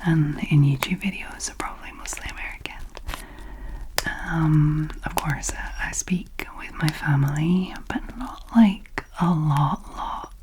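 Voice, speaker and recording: A woman with a light Scottish accent, whispering softly and hesitantly close to the microphones as an ASMR creator. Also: low-pitched, unhurried, poor audio. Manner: soft unvoiced whisper, rambling and hesitant. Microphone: close to sensitive mics.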